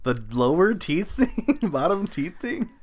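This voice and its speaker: Lively, sarcastic male podcast co-host. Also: sits high, slow. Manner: sarcastic, jokey asides. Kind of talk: casual podcast chat.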